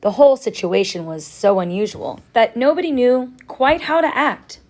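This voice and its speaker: A woman reading a children's novel aloud as a teacher would, with expressive storytelling. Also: a little high, moderate pace.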